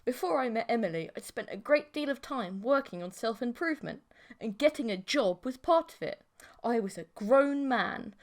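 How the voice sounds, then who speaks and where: A woman narrating a comic first-person story as an audiobook, in a wry, expressive manner. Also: fairly high pitch.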